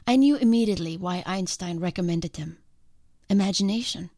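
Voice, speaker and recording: A woman hosting her own podcast, talking in a calm, earnest and reflective way, with a congested voice from a sinus infection. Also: lowish voice, fairly quick, dry recording, studio quality. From outside the country she now lives in. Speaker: podcast host. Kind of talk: reflective solo podcast monologue.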